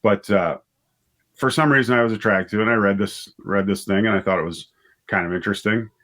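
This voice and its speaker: Low-voiced man, halting storyteller. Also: a bit bright. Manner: halting, with repeated words and self-corrections. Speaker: writer and director, interview guest. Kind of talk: conversational interview storytelling.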